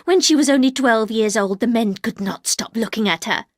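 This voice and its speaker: A woman voicing a bitter, grieving older housekeeper who speaks in a harsh, cold, slow and accusing way. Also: fairly high pitch, no echo, studio quality, lightly acted.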